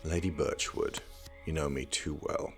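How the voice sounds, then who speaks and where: A man acting in an audio drama as a young scholarly prince, speaking with dry, measured, formal wit. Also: very deep voice, steady tone, soft background music. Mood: dry, reserved.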